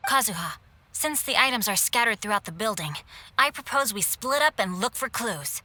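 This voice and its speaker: Casting: bookish game investigator, courteous and exact, slightly high voice, faint noise in the recording. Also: female voice, expressive, moderate pace, bright, forceful tone. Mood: earnest, helpful. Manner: precise, formal, helpful. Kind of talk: video game cutscene acting.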